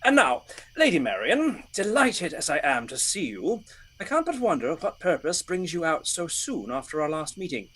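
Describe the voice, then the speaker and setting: Lively, gallant outlaw hero. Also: male voice, fairly high pitch, moderate pace, slightly rough, exaggerated voice, poor audio. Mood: playful, courtly. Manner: courtly, archaic phrasing, playful. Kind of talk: radio play acting.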